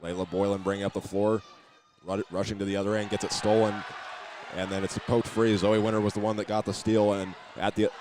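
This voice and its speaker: Low, even-voiced man calling basketball. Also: fairly quick. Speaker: play-by-play announcer. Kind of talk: live basketball play-by-play commentary. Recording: noisy gym ambience behind the voice.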